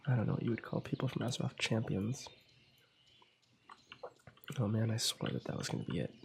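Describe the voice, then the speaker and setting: A young man with a cold, quietly commenting on his gameplay in a soft ASMR style, often slipping into whispers. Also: lowish voice, big pitch swings, a little fast, creaky, slight background noise. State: has a cold. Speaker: ASMR gaming channel host.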